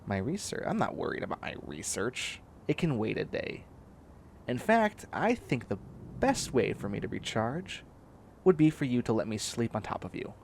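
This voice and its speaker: A man's voice: mid-range voice, very animated, moderate pace, gravelly, slight background noise. A man playing a bossy, clingy young catboy in a comedic roleplay audio drama, whining and demanding in a needy way.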